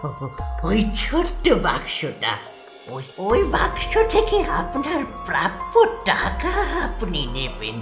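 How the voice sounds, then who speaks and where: Eerie, halting, frail old patient. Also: female voice, sits low, wildly swooping pitch, exaggerated voice. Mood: wheedling, eerie. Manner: halting, repeats words, drawn-out. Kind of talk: animated horror story acting. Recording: echoey, with music behind.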